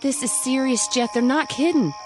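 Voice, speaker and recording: A woman acting as a young country sweetheart with a rural Southern accent. Her voice is fairly high, earnest and plain-spoken, with clear music behind it in a radio play. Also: normal speed, close to an everyday voice. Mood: worried, pleading.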